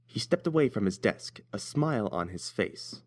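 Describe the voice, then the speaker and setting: A man's voice: fairly low pitch, expressive, moderate pace, darkish tone. A man narrating a scripted story as its reader.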